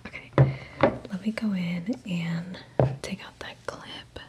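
A woman's voice: lowish voice, steady tone, slow pace. Casting: young woman, whispered ASMR personal attention, casual reassuring delivery, close-miked in a small room. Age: young adult. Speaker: ASMR creator playing a hairdresser. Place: small room indoors at home. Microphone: close desktop condenser microphone.

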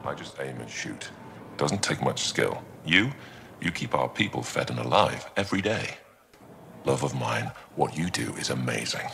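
A devoted alien soldier in a sci-fi game, tender and modest. The voice is extremely deep and gravelly with lively swings in pitch, over faint ambience. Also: male voice, moderate pace, a little hiss or hum, heavily acted. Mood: tender, admiring. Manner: tender, sincere. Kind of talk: video game dialogue.

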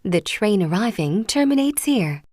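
A woman's voice: medium pitch, very animated, extremely slow, a little mellow, no room sound, clean studio sound. A woman reading short scripted train station announcements, formal and carefully enunciated. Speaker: English-language station announcer. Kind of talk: scripted public transit announcement.

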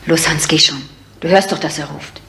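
A woman's voice: deep voice, normal speed, sharp tone. A woman playing an innkeeper's embittered, matter-of-fact daughter in a radio crime drama, speaking curtly and soberly. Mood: annoyed. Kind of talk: 1970s German radio crime drama acting.

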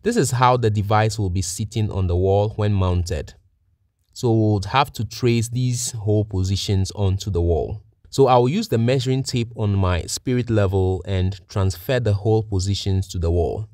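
Male tutorial narrator, lower register, plain-spoken technician delivering orderly instructions, recorded dry. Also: moderate pace, darkish tone, dry recording. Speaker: technician presenting a how-to tutorial.

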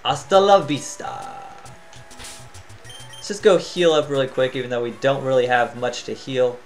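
A man's voice: mid-range voice, normal speed. Man, tired, casual gameplay commentary, chatty, thinks aloud, faint background music. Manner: chatty, thinks out loud, hums to himself. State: tired, voice near cracking. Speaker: gaming channel host.

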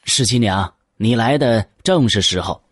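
An actor playing an elderly, officious Tang dynasty prefect in an audio drama, with measured, old-fashioned diction. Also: male voice, fairly low pitch, expressive, a bit bright, no echo. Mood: urgent, welcoming.

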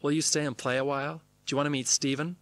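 A man's voice: on the high side, flat delivery, fast talker, no echo, lightly acted. A man voicing a bubbly, friendly young actress who hails eagerly in a memoir reading. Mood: excited, friendly. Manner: bright hailing, eager. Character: bubbly, friendly young female film star calling out.

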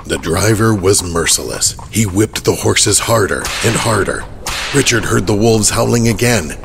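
A man's voice: fairly low pitch, moderate pace, gravelly, noisy background. A man narrating a horror audio drama in a dramatic, scripted storytelling style. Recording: music underneath.

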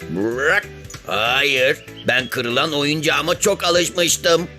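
Man as a slow, sulky cartoon frog. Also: fairly high pitch, sharp tone, heavily acted. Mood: unhappy, doubtful. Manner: glum, slow, reluctant, dismissive. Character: sulky, unhappy, grumbling male frog. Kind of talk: children's cartoon storytelling. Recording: music behind the voice.

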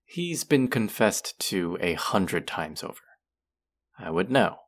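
Guy playing a rude cocky twin brother for an audio roleplay, deep n slow, teasing and mocking drawl. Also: very animated, heavily acted. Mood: condescending. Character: condescending, smug, mocking young man, rude twin brother. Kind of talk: roleplay audio drama, intimate character acting.

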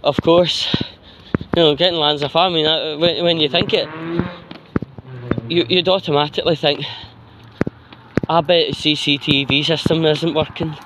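Scottish male vlogger, slightly high voice, slow halting monologue, outdoor phone audio with faint street noise. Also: steady tone. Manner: halting, slow, frequent pauses mid-sentence. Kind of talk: rambling casual walking monologue. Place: outdoors, walking along a street pavement. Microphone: handheld phone while walking.

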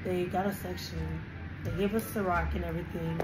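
A woman's voice: lowish voice, fairly even intonation, a little fast, very noisy. A woman in her twenties telling a casual, slangy story emphatically to a phone camera in a hotel room. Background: background music.

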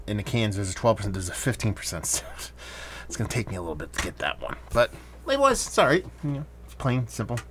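Man, lowish voice, casual rambling beer review, faint background hum. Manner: relaxed, rambling, with many fillers.

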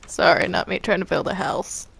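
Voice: mid-range voice, moderate pace, gravelly, a bit bright, slight background noise. A gamer chatting casually and playfully during gameplay, often giggly and teasing.

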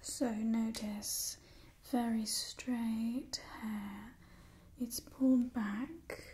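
A young woman playing a haughty, doting immortal vampire in a whispered ASMR roleplay, speaking softly and breathily in a refined, coaxing way. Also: fairly high pitch, fairly even intonation. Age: young adult. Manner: slow, refined, coaxing, faintly imperious. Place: small indoor set with wooden backdrop. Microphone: close mic, out of frame. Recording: close-miked whisper, noticeable hiss, soft clicks.

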